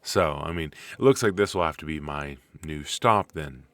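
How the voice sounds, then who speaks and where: Deep-voiced, rambling, flirty coffee date played by a man. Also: dry recording. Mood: warm, curious.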